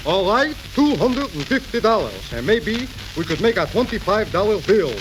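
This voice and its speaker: A man playing an excitable, haggling middle-aged used-car dealer and father in a 1930s radio comedy. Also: high voice, normal speed. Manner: haggling, excitable, inverted phrasing. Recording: crackly, hissy 1930s radio recording.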